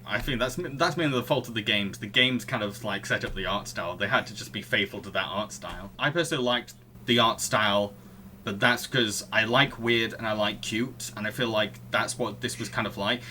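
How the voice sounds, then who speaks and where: A man with a very bright, forward voice at middle pitch, co-hosting a casual film podcast. He talks in a halting, amused way, with faint noise behind him. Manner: chuckles through sentences, halting. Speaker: podcast co-host.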